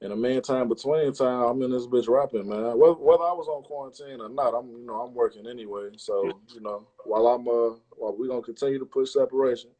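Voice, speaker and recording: A man's voice: medium pitch, fast, hardly any pauses, mellow, low-quality recording. A man chatting casually as an interview guest, using a lot of slang and swearing. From Chicago, United States. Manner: slangy, profane. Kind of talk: casual chat.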